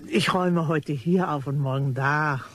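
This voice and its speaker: A nosy, chatty cleaning lady in a radio crime drama. Her voice is rough and husky. She rambles on in a gossipy, exclamatory way while claiming to be discreet. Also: deep voice, moderate pace, no room sound. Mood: confidential, gossipy.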